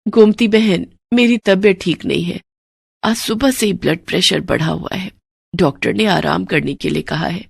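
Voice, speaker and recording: A woman's voice: on the low side, moderate pace, gravelly, slightly rough, no room sound, full character voice. Casting: a woman as a polite, kindly household mistress, cartoon, close dry recording. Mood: unwell, apologetic. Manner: polite, instructing. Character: kindly, well-off mistress instructing her servant.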